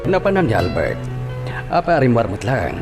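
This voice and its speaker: An actor playing a concerned, questioning head of a household in a radio drama. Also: male voice, medium pitch, big pitch swings, normal speed, over loud music, close to an everyday voice.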